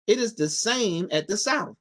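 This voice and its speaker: A little Munchkin man read by a male storyteller from a children's storybook. He explains things solemnly and earnestly, with some lively movement in his pitch. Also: normal speed.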